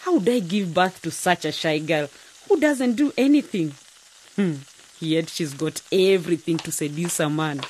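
A woman's voice: fairly low pitch, animated, moderate pace, darkish tone, breathy edge, poor audio. A woman playing a pushy, scheming middle-aged mother in a radio drama, bossy and cajoling.

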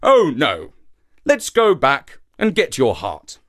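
A man's voice: on the high side, wildly swooping pitch, takes it slowly, a bit bright, heavily acted. A man voicing a friendly but scheming, rather gullible shark in an animal folktale, coaxing and emphatic. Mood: anxious.

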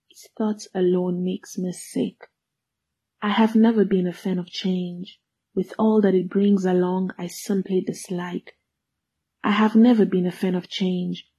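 Young Congolese woman poet, Swahili native, husky and breathy, flat and solemn reading. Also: medium pitch. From Democratic Republic of Congo. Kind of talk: spoken word poetry and introduction.

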